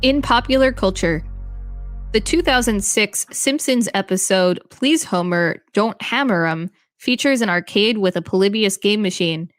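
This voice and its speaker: Female co-host, middle pitch, careful article reader, faint music under the voice. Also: expressive, measured pace, no echo. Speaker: podcast co-host reading an article. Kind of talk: reading an encyclopedia article aloud.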